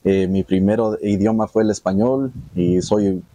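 A man's voice: sits low, measured pace, mellow, a little hiss or hum. A young man from California, a bus driver, answering a street interview in plain speech. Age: young adult.

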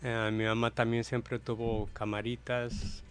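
A Mexican man in his forties answers at a measured, middle pitch, pausing and hedging as he thinks. Faint music plays behind him. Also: little pitch movement. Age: 40s. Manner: thoughtful, hesitant, soft-spoken. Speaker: guest photographer. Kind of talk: casual interview answers.

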